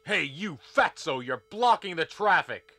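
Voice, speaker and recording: A man's voice: a little high, lively intonation, a little slow, bright, forceful tone, no echo, full character voice. A man voicing a cocky hero agent in a game cutscene, delivering smug wisecracks.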